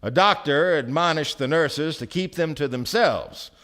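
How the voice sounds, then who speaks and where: An actor playing a wry storyteller, narrating a radio drama on stage with theatrical emphasis and measured pauses. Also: male voice, on the high side, a bit bright. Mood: gossipy, grave. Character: wry, dramatic storyteller of a small-town tale. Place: theatre stage before a live audience.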